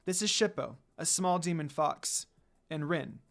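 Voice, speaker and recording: A man's voice: fairly high pitch, expressive, a little slow, gravelly, a bit bright, full character voice. A man voicing a polite, earnest young priestess in a story, explaining things respectfully. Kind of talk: storybook character reading.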